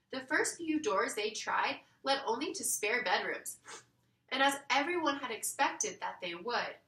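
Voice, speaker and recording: A woman reading a children's story aloud in an expressive storytelling style. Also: a little high. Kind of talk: expressive scripted storybook narration. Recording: roomy echo, distant mic.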